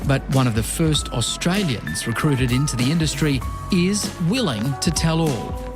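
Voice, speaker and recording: A man narrating a news feature in a measured, scripted way. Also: mid-range voice, expressive, music in the background. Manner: measured, formal delivery.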